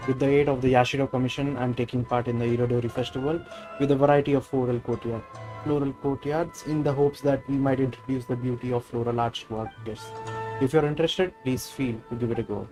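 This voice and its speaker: A man's voice: mid-range voice, fairly even intonation, quick pace, natural voice, rough recording. A man voicing a polite, gracious woman florist from a video game, formal and explanatory. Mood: patient, explanatory. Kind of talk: reading video game dialogue aloud. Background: game music.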